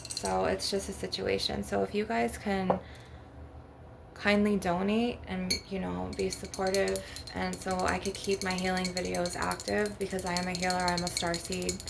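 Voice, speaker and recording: A woman with a rather low voice that often crackles into fry. She rambles casually, blunt and aggrieved, swearing freely. Clear background noise and clinking glass taps run behind her. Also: little pitch movement, moderate pace. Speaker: ASMR video creator. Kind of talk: casual rambling monologue over ASMR tapping. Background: glass and crystal tapping.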